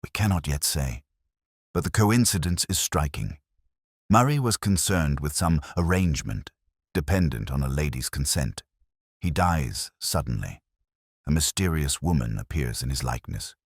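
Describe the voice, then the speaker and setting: A man voicing a calm, incisive Victorian detective in an audiobook, speaking formally and deliberately. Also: extremely low pitch, slightly rough, no room sound, studio quality, full character voice. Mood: measured, incisive.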